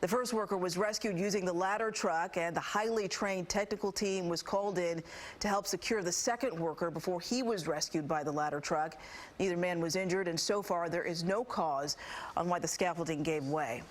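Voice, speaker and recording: A woman's voice: on the low side, moderate pace. American woman anchoring a TV newscast. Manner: formal, polished broadcast delivery. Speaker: news anchor. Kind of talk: scripted TV newscast narration.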